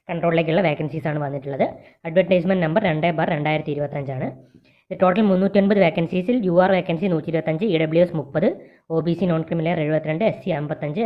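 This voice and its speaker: Fast-talking Malayali woman, dull recording. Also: on the low side. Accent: Malayali, Kerala. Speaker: presenter of job vacancy videos. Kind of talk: informational explainer, reading out details from a notice.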